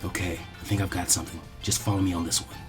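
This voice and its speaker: Guy doing a stoic ninja kid for a story, low deadpan, cold and dry, music behind. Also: fast talker, close to an everyday voice. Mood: calculating.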